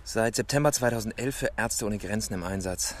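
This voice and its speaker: Ein Schauspieler spielt in einem Radiokrimi einen trockenen, bohrend fragenden Kommissar. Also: male voice, fairly low pitch, a little fast, noisy background, close to an everyday voice. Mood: dry, probing. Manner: probing, dry. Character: dry, probing male police inspector. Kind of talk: naturalistic German radio drama acting.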